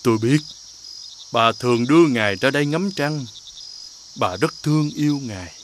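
A man voicing a loyal, concerned companion of a prince in an animated fairy tale. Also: medium pitch, normal speed, slight background noise, close to an everyday voice. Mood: sympathetic.

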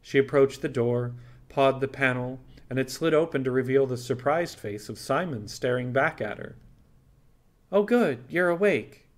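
A man narrates fiction in audiobook style. His pitch is average for a man. He reads scripted prose as a storyteller. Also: moderate pace.

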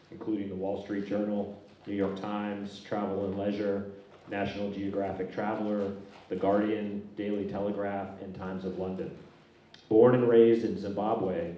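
Flat-voiced male moderator in echoey hall. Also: fairly low pitch, far from the mic, a little hiss or hum. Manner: reads out speaker bios in a steady, even way. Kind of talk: reading a panel introduction. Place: stage in a large dark hall. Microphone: handheld microphone.

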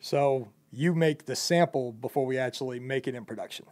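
A man's voice: medium pitch, fairly quick, a little mellow. Chatty young man interviewing a job applicant. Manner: loose, rambling, chatty with many fillers. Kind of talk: casual on-camera job interview.

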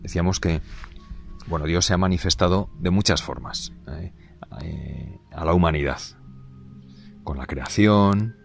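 Man, very deep voice, priest teaching, gentle and halting, faint music underneath. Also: creaky. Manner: gentle, halting, many fillers and restarts. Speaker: priest giving catechesis. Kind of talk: unscripted spoken catechesis.

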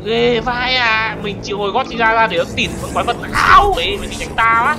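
An excited gaming host commentates on gameplay in an exclamatory way. The voice has a medium pitch and talks to viewers like friends. Music plays clearly behind the commentary. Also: brisk. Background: background music.

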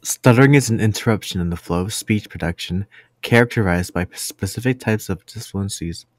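A man's voice: fairly low pitch, a little fast, dry recording. A young man reading his prepared part of a college class presentation. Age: young adult. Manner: reads a prepared script. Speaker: student presenter.

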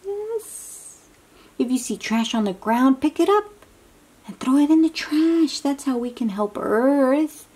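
A woman reading a picture book aloud to young children, expressive and full of exclamations, drawing out words and dropping into hushed asides as she asks simple questions. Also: high-pitched, slow pace. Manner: talks directly to young listeners with simple questions. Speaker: children's read-aloud host.

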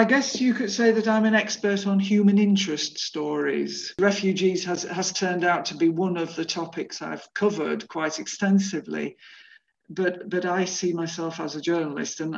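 Older woman, creaky voice, interview talk, muffled call audio. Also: fairly low pitch. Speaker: journalist interviewee. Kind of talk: interview talk about career. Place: plain room indoors, video call. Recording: slightly dull video-call audio.

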